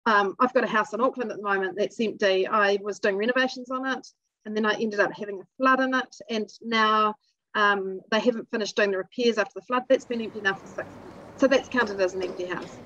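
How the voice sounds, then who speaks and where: Measured middle-aged New woman. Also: medium pitch, steady tone, fairly bright tone, no echo, lo-fi sound. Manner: measured, explanatory, with um fillers. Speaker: executive officer of an industry group. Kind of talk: interview explanation. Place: small indoor room.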